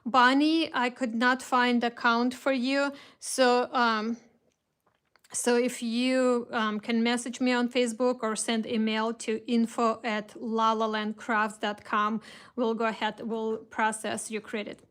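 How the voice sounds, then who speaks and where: A woman's voice: fairly high pitch, flat delivery, takes it slowly, fairly bright tone, smooth. A woman with a Russian accent hosting a casual live craft kit reveal, chatting with viewers, greeting them by name and restarting her sentences often. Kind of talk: casual live-stream chat.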